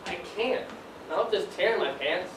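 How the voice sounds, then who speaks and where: A man's voice: high voice, expressive, unhurried. Teenage boy playing dreamy young factory worker, distant and echoey. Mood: urgent. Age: teens. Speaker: student actor. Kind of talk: high school stage play acting. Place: stage in a large room, distant mic. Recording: roomy, noisy stage recording from afar.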